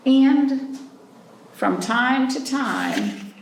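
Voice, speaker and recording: Female storytime host, lively intonation, some vocal fry, question-and-pause delivery for little ones; distant mic with faint noise. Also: sits high, roomy sound. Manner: prompting listeners with questions and pauses; expressive, drawn-out reading of story phrases. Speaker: children's librarian hosting storytime. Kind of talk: read-aloud storytime for young children.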